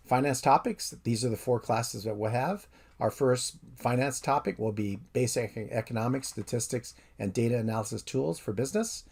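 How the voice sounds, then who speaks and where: A man's voice: medium pitch, vocal fry. A professor in his fifties giving a methodical, recorded introduction to his course. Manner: steady, methodical explainer.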